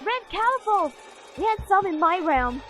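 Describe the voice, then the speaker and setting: A sassy cartoon teen fairy, played by a woman. The voice is extremely high, and she talks bluntly in punchy slang. Soft music sits behind it. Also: big pitch swings, moderate pace, full character voice. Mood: alert. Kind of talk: cartoon fan dub acting.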